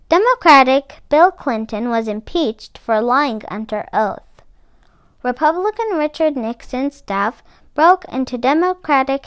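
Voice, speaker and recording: A woman with a notably high voice reads a children's picture book aloud. She takes her time and sets out each phrase deliberately, leaning on the important words. The sound is dry, with little room around her. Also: smooth, no echo. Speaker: read-aloud book club host.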